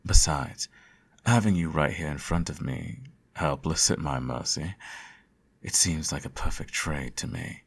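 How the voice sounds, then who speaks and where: Guy doing a suave villain in an asmr roleplay, super low husky voice, slow n coaxing, teases but dotes. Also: full character voice. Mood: playful, gloating. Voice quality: gravelly, husky. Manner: suave, theatrical, coaxing, uses pet names. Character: teasing, doting male supervillain nursing his hero nemesis. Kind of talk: intimate ASMR roleplay acting.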